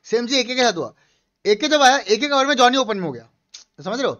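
High-pitched young man streaming. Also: animated, a little fast, a bit bright. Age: 20s. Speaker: gaming streamer. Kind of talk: casual live-stream chat and game commentary. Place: small room indoors. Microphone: headset. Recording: slightly dull, lo-fi stream audio.

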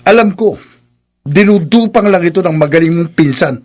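A man's voice: high-pitched, normal speed. A man playing a stubborn, hot-headed middle-aged husband in a Filipino radio drama, emphatic, with a Filipino accent. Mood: defiant.

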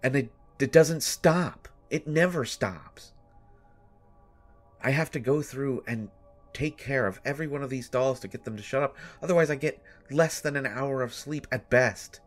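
A man playing a haunted, sleepless brother telling a horror story in first person, with halting, deliberate phrasing and long pauses. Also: medium pitch, natural voice. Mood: exasperated, pleading. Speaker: audio drama storyteller. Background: music.

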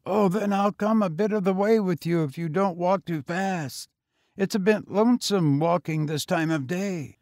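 A man voicing a frail, talkative, weary homeless boy of eighteen with a husky, colloquial, insistent way of talking in a story. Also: high-pitched, moderate pace, a little mellow, dry recording, studio quality, pitched above the performer's own voice. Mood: casual, friendly.

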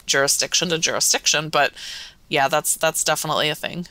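A woman's voice: sits low, steady tone, a little fast, pushed and bright, dry recording. An American woman talking casually as a podcast guest, explaining things in a conversational way. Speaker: podcast guest, whiskey magazine editor.

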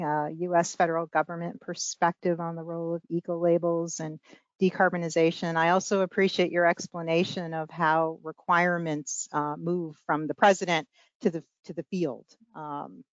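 A woman's voice: fairly low pitch, fairly even intonation, moderate pace, dry recording. A woman moderating a panel, thanking a speaker with many fillers. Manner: appreciative, filler-heavy. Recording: dry, dull call audio.

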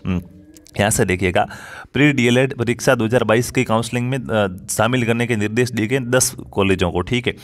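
A man informally explaining education news like a teacher, reading out and commenting, repeating points and addressing viewers directly. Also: medium pitch.

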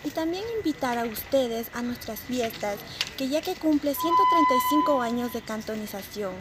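A sixteen-year-old girl speaks proudly as a pageant candidate, inviting people to the festivities. Her voice sits a little high. Heavy outdoor noise runs behind her. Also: huge pitch swings, moderate pace. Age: teens. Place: outdoor courtyard.

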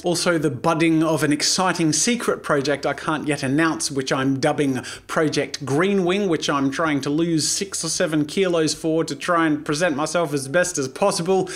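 A man, a YouTuber and animator delivering a quick, enthusiastic announcement vlog from a small home studio, talking straight into the camera. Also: fairly high pitch. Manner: upbeat and enthusiastic, rapid-fire delivery.

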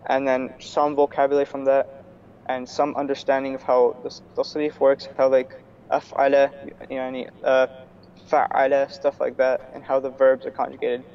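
A young man from New York, a student guest in a casual video-call interview, talking in a relaxed way with many fillers and restarts. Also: medium pitch, normal speed, a little hiss or hum. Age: young adult. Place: small room indoors. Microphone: earphone headset on video call. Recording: slightly dull video call audio.

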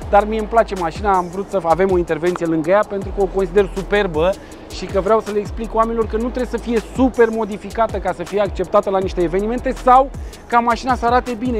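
Lively high-voiced man hosting outdoors. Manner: lively, joking, talks with his hands. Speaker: car show host. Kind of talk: casual on-location presenting. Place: outdoor rooftop car meet.

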